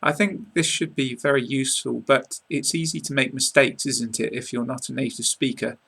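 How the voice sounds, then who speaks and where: English man teaching, gravelly bright voice. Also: mid-range voice, fairly even intonation. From England. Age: 20s. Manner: clear, measured teaching delivery with casual asides. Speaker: accent teacher. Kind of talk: online lesson to camera. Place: small room indoors. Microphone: webcam at close range.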